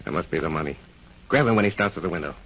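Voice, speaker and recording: A man's voice: a bass voice, expressive, very fast, very noisy. A man playing a shrewd, stern police captain in a 1930s radio crime drama, with a clipped, commanding delivery. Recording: muffled 1930s radio recording.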